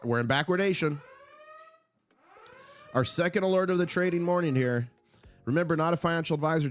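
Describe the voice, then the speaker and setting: Hoarse, hyped man hosting a livestream. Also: mid-range voice, no echo. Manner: urgent, hyped, repeats key numbers. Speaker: livestream host on silver markets. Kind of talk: excited live market commentary.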